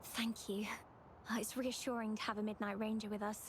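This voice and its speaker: An actress playing a composed, caring young woman guide in a video game, speaking in a measured, reassuring manner. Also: medium pitch, level tone, natural voice. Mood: reassuring, explanatory. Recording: game audio with faint background ambience.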